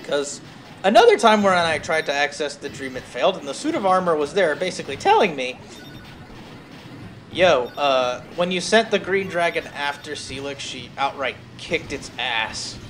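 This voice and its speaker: A man chatting casually as he streams a game, telling stories haltingly and drifting off on tangents, with some noise in the recording. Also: a little high, expressive, fairly bright tone. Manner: halting, tangent-prone storytelling. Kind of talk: casual rambling chat over gameplay.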